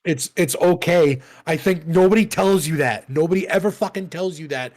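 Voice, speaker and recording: A man's voice: fairly high pitch, moderate pace, a little mellow. A man in his thirties co-hosting a podcast in casual chat, rambling and profane, often laughing at himself. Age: 30s. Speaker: podcast co-host.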